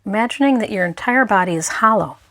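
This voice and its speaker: A woman mindfulness instructor narrating a guided meditation in a gentle, unhurried way, leaving pauses between phrases. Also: mid-range voice, expressive, no echo, studio quality.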